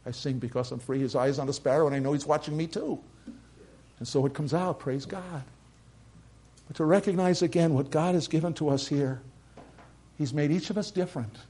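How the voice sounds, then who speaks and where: An older man with a slight Norwegian accent, preaching a sermon. He builds to loud, emphatic peaks and then drops to quiet, measured lines. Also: a little high. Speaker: pastor.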